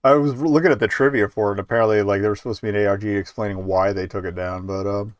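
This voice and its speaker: A man's voice: fairly low pitch, fairly even intonation, very fast, dry recording, studio quality. A man giving casual live game commentary as a streamer, rambling and hesitant, with muttered asides.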